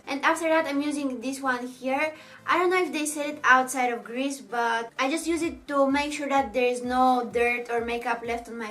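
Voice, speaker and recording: A woman's voice: high voice, fairly bright tone. A woman from Bulgaria with a Bulgarian accent, speaking English as a second language in a casual, bubbly question-and-answer chat as a makeup vlogger.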